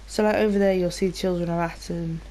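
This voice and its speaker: Mellow, low-voiced British woman gamer. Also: fairly even intonation, quick pace. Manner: rambling, informal, sweary. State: slightly nasal from a cold. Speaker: gaming video host. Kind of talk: casual gameplay commentary.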